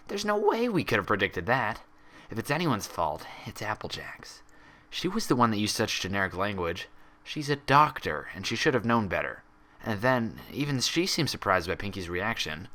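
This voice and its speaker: A man's voice: on the low side, very animated, moderate pace, sharp tone. A man voicing the sneering mirror twin of a young pony mare, arguing in a defensive, scornful way in a story.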